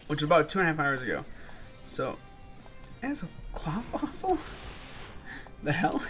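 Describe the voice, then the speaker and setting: A young man with a stuffy, slightly congested voice, casually commentating on a game as he plays. His pitch sits a little high and swings a lot, and he mutters and swears when things go wrong. Faint game music plays behind him. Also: huge pitch swings, normal speed, a bit bright. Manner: laid-back, swearing, frustrated muttering. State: stuffy, sore throat. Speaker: gaming channel host. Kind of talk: casual let's-play commentary.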